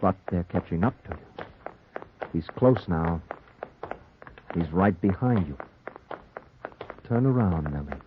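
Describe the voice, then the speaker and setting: An actor playing a dogged young newspaper reporter with an American accent in 1940s radio noir style. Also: male voice, low-pitched, lively intonation, slow, long pauses, noisy background. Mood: brooding, ominous. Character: dogged young newspaper reporter narrating his crime story. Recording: muffled narrow-band 1940s radio recording, music behind.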